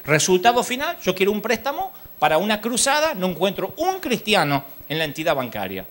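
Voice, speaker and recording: A man with an Argentine accent preaching a sermon at a church congress, telling lively stories and mimicking the people in them. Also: high voice, brisk. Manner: lively storytelling, mimics people in his anecdotes; rises to loud exhortation.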